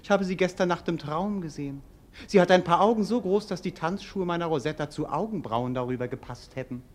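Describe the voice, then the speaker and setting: An actor playing a bored, mocking young prince in a German radio play, ironic and wordy, swinging between languid and sharp. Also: male voice, a little high, fairly quick, natural voice. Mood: airy mockery.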